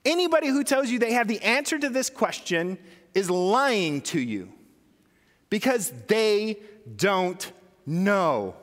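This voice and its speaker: A man's voice: high-pitched, sing-song, slow pace, fairly bright tone, long reverb tail. A man preaching a sermon as pastor, talking conversationally and putting questions to his congregation.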